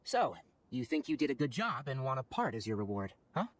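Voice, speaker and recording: A man playing a knowledgeable young forest ranger in a video game, articulate, brisk and wry as he explains things. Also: mid-range voice, very animated, lightly acted. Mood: analytical. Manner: articulate, explanatory, matter-of-fact. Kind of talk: video game character acting.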